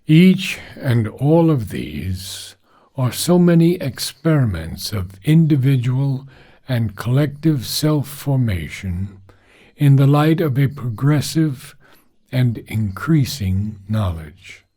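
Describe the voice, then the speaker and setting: Male reader, mellow dark tone, husky, measured formal prose, deliberate short phrases with pauses. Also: medium pitch, big pitch swings. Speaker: reader of a philosophical book. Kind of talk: slow, measured read-aloud of formal prose.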